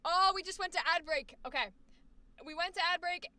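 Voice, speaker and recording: A woman coaching voice actors on a live stream, reacting with effusive exclamations and quick asides. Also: very high voice, sharp tone, dry recording. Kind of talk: live stream commentary on student recordings.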